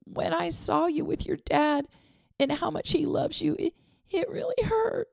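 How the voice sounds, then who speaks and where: A woman's voice: very high-pitched, unhurried, creaky, a little mellow, dry recording, pitched above the performer's own voice, exaggerated voice. A woman voicing a grieving young girl who stammers and whimpers through tears. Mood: tearful.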